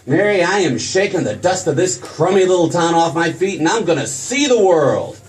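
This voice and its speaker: Guy playing excitable stammering young small town dreamer, radio play, voice jumps around, distant noisy recording. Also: fairly high pitch, moderate pace. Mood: excited, dreamy. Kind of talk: old-time radio drama stage acting. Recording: noisy stage recording from far off.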